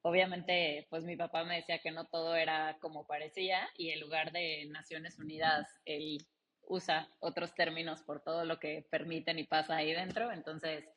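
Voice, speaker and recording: A woman's voice: low voice, normal speed. A woman with a Mexican accent, chatting animatedly and telling personal stories as an interview guest. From León, Guanajuato, Mexico. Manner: animated, chatty, quotes dialogue as she tells anecdotes. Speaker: interview guest, logistics company founder and CEO. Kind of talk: casual conversational interview storytelling.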